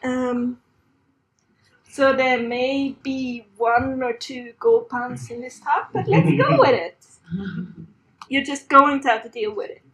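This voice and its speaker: A woman's voice: sits high, takes it slowly, pauses often, a bit bright, poor audio. Swedish-accented woman giving a tech talk. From Sweden. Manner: chatty, self-deprecating, laughs often. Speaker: conference speaker. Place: conference room on a stage.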